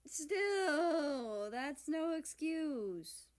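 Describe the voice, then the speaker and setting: A woman's voice: high voice, expressive, very slow, pitched above the performer's own voice, heavily acted. A woman voicing an exasperated young male university student in a storybook, pleading and indignant with a groaning, whiny manner. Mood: sulky.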